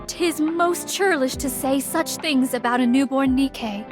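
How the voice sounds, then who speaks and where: High, slow, solemn young swordswoman. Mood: stiff, solemn. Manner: formal, archaic, deliberate. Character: young, solemn, earnest swordswoman with archaic speech. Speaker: video game character voice. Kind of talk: dramatic anime game voice acting. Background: music behind the voice.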